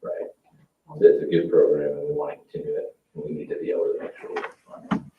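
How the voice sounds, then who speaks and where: A man's voice: rumbling low, completely flat delivery, fast, background noise. A man chairing a public meeting as council president, calling votes and putting questions in procedural language. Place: indoor council meeting room. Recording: echoey, distant, dull meeting-room audio.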